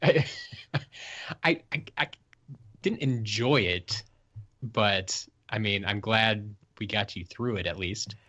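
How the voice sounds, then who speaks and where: Slow, low-voiced male guest. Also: pushed and bright. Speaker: podcast guest. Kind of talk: casual podcast chat.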